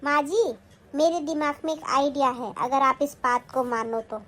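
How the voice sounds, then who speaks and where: A woman's voice: high voice, normal speed, a bit bright. A woman acting a sly, newly married young daughter-in-law who coaxes and pleads in a family skit. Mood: plotting. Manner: coaxing, plotting, pleading.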